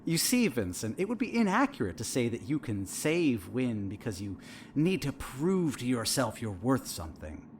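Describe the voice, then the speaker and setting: A man's voice: mid-range voice, big pitch swings, moderate pace, a little hiss or hum, close to an everyday voice. A man playing a smug, all-knowing therapist in an audio drama, asking leading questions in an insinuating, theatrical manner. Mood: smugly insinuating. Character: probing, needling male therapist, smug and eerily all-knowing. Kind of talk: audio fiction dialogue.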